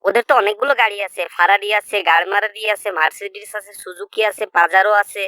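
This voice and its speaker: A woman's voice: mid-range voice, fairly even intonation, fast talker, sharp tone, no echo, studio quality, close to an everyday voice. A woman voicing a cocky, greedy young male friend who boastfully rattles off exaggerations in a comedy cartoon.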